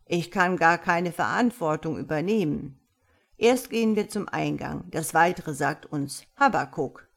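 A woman voicing a wise, patient tomcat in a storybook, speaking in a measured, gently instructive and slightly pompous way. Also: sits low, lively intonation, creaky, exaggerated voice. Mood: kindly, matter-of-fact. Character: wise, patient male tomcat, calm mysterious mentor. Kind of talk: storybook character voice.

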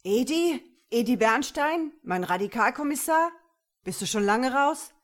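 A woman's voice: sits high, expressive, a little slow, voice pushed up. A woman voicing a brazen, sardonic male bank robber who barks commands in an audiobook. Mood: mocking surprise. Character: brazen masked bank robber, sardonic man barking demands. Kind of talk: audiobook character voice.

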